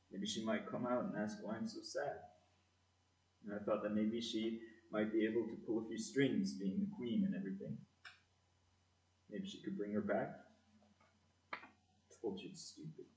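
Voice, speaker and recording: A man plays a sad, troubled young lad in a community audio play. His voice is fairly low. He sounds distant in a reverberant room. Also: fairly even intonation, moderate pace, long pauses, darkish tone, natural voice. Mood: grieving, forlorn. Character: sad, troubled teenage boy grieving his mother. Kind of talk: amateur audio play acting. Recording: echoey room, distant microphone.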